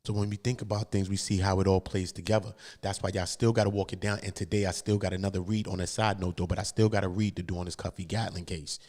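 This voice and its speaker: Low-voiced American male livestream host, slangy and casual, hammering points home by repeating phrases. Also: little pitch movement, fast, few pauses, a little mellow. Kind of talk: casual street commentary on court cases.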